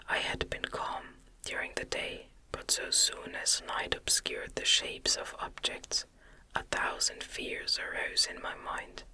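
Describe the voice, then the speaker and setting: ASMR audiobook narrator, unvoiced whisper, slow pace, crisp bright sibilance, gentle, soothing. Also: poor audio. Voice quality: soft breathy unvoiced whisper. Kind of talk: ASMR whispered reading of a gothic novel.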